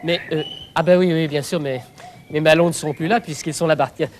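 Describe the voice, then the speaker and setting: A man plays an earnest young student in a children's show. His voice is high, polite and easily flustered, over faint soundtrack noise. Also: steady tone, a little fast, close to an everyday voice. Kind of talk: children's television acting.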